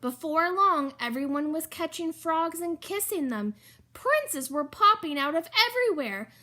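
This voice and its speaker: A woman reading a children's story aloud in an expressive, emphatic way, with chatty asides to the listeners. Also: extremely high pitch, measured pace. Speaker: storybook reader.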